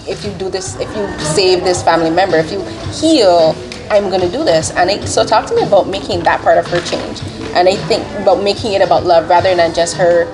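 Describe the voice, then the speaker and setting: A woman in her forties from Barbados, interviewing a filmmaker in a casual, animated way, laughing often and quoting herself. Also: fairly low pitch, poor audio. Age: 40s.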